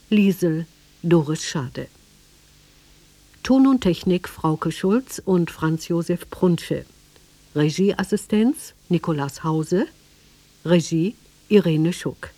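A woman's voice: lowish voice, warm tone, no echo. A woman with a standard German accent reading scripted credits as a radio drama announcer.

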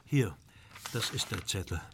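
A man's voice: lowish voice, expressive, a little slow, creaky, noisy background. A man acting an anxious, genteel Victorian art dealer in a radio crime drama, formally recounting his troubles in detail. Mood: tense recounting. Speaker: actor in a detective radio play.

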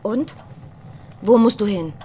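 Woman acting a needy, slow-speaking young wife. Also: mid-range voice, warm tone, slight background noise, lightly acted. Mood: probing. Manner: coaxing, probing, unhurried. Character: affectionate, jealous, needy wife of a pastor. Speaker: radio drama actress. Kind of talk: naturalistic German radio play acting. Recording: music behind the voice.

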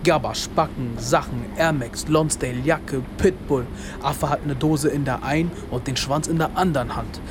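A man's voice: fairly high pitch, normal speed, background noise, lightly acted. A man playing a street-smart narrator in a radio drama, telling a gritty story in rhythmic, staccato phrases. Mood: gritty, cool. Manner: rhythmic, staccato spoken-word delivery in short phrases. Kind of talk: scripted radio drama narration in second person. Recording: loud music bed, urban street sounds.

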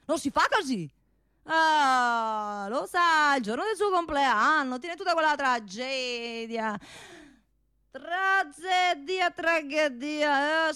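An excitable, grumbling storyteller, acted by a woman for comic theatre, pitched high. The delivery is rambling, exclamatory and singsong, in a dry recording. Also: no room sound. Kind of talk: comic theatre character acting.

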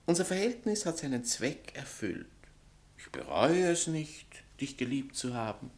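Pompous poet, wildly swinging intonation. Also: male voice, mid-range voice, very animated, moderate pace, gravelly, a little mellow. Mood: haughty, declaiming. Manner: theatrical, pompous, declaiming. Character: vain, pompous, wounded male poet berating his former lover. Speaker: actor. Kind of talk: 1960s German-language radio drama acting.

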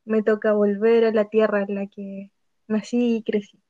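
A Chilean woman speaking calmly and unhurriedly as a podcast guest. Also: mid-range voice, moderate pace, dry recording. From Puerto Montt, Chile. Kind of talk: casual discussion. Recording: dry, dull lo-fi sound.

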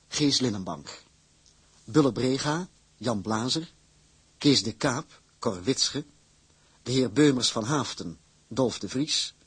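A man announcing in a scripted, formal and measured way, with a very husky voice. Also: medium pitch, a bit bright, no echo.

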